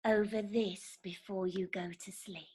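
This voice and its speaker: A woman playing a jealous, sharp-tongued young parlour maid in a staged reading of a Victorian farce. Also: mid-range voice, fairly even intonation, a little slow, breathy edge. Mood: jealous, resentful.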